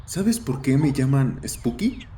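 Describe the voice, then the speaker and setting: A man's voice: mid-range voice, very animated, slow, mellow, a little hiss or hum, pitched above the performer's own voice. Man playing a defiant haunted teenage boy, muted tone. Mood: uneasy. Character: haunted, defiant thirteen-year-old boy nicknamed Spooky. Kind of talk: dramatized horror audio fiction.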